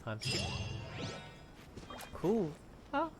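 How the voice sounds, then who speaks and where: Casual game streamer over loud music. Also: mid-range voice, huge pitch swings, extremely slow. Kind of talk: casual gameplay commentary.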